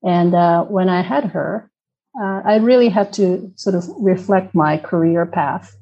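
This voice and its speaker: Japanese woman guest, husky low voice, kinda dull lo-fi sound, lots of ums. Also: a little slow. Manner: hesitant, many ums and restarts. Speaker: podcast guest. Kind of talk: casual interview answers.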